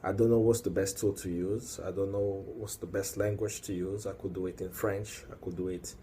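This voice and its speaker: A man's voice: deep voice, flat delivery, moderate pace, mellow, a little hiss or hum. A young man from the Congo speaking English, talking alone to a camera in a hesitant way with many fillers that builds into emphatic warnings. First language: Swahili or Lingala. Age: young adult. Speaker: activist. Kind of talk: solo video monologue. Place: indoors at night, working.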